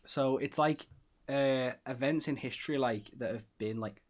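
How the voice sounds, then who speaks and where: Podcast host, Scouse man, mid-pitched, chatty and rambling, self-mocking. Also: a little slow. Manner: rambling, self-deprecating, lots of fillers. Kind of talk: casual chat.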